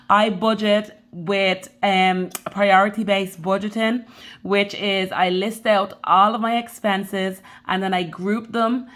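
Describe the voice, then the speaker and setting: A woman's voice: mid-range voice, fairly bright tone. A woman in her thirties from the Caribbean with a Dublin accent, chatting loosely and directly to her viewers as a budgeting vlogger. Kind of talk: casual chat to camera.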